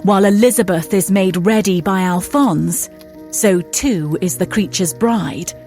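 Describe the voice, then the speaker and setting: A solemn female storyteller played by an actress narrates a gothic drama. Her mid-range voice is measured and literary, with soft music behind. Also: mid-range voice, natural voice. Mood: solemn, narrating. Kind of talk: scripted narration.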